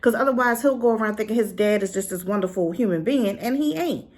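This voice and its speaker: Sassy, animated woman reviewing. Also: medium pitch, fast talker. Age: adult, been married and divorced. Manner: animated, sassy, talks straight to viewers. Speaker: drama reviewer. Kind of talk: casual review chat.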